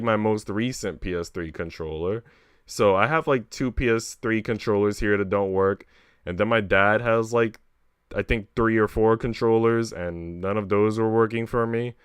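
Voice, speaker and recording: A man's voice: on the low side, clean tone, no room sound, studio quality. A man talking casually on a livestream, rambling along in slangy speech and swearing freely. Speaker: streamer reading a visual novel aloud.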